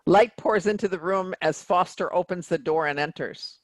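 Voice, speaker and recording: A woman's voice: lowish voice, moderate pace, no echo. A woman reading scripted stage directions as narration for a play.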